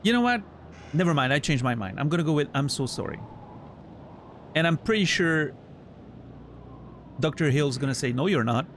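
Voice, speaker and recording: A man's voice: on the high side, expressive, moderate pace, pauses often, a bit bright, slight background noise. A man from Switzerland with a Swiss French accent, a native French speaker chatting casually with viewers while streaming a game, often restarting his sentences. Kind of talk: casual chat while gaming.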